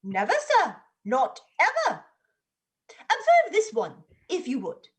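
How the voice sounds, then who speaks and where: Shrill-pitched, fawning, cruel matron. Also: female voice, huge pitch swings, takes it slowly, heavily acted. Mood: proudly cruel. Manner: obsequious, affected, theatrical. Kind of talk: dramatized stage play reading.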